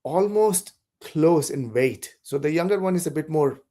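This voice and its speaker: A man's voice: a little high, lively intonation, unhurried, noisy background. A man from south India whose first language is Malayalam, talking as a podcast guest in a thoughtful, hesitant way with many restarts. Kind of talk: casual conversation.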